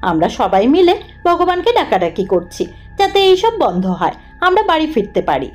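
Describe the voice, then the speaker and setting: A woman narrating a scripted horror cartoon story in the first person, dramatic and expressive. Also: sits high, normal speed.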